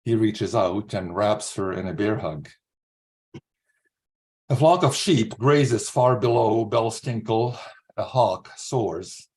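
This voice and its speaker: A man in his sixties from Slovakia, a writer speaking at an online literary reading, with an earnest, halting delivery. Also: fairly low pitch, animated, darkish tone. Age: 60s. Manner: halting, repeats words, earnest. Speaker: writer reading own short fiction. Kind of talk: informal spoken introduction and author's reading at an online literary event. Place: remote video call.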